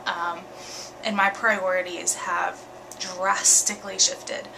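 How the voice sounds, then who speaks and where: A young woman, talking candidly in a home vlog, earnest and full of ums and pauses. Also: lowish voice, level tone, creaky, background noise. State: congested with a cold, sniffly. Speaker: vlogger. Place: bedroom at night.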